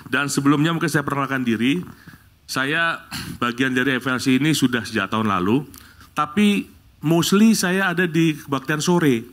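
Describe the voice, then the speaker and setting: A middle-aged man from Bali leads a church prayer, then preaches a sermon to the congregation. His talk is lively, rising to loud exclamations and jokes with the congregation. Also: mid-range voice, moderate pace. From Bali, Indonesia. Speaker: guest preacher. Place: church service.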